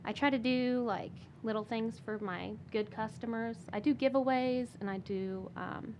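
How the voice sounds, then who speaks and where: A woman, a guest on a podcast, talking casually and softly with many fillers and restarts. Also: on the high side, moderate pace, slight background noise. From Michigan. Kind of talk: casual interview talk.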